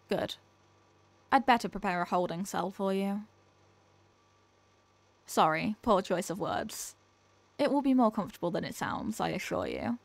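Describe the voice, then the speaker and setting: A woman's voice: medium pitch, vocal fry, dry recording. A woman playing a curious, secretly smitten alien scientist in an ASMR audio roleplay, clinical and formal in wording but hesitant and flustered. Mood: shy, nervous.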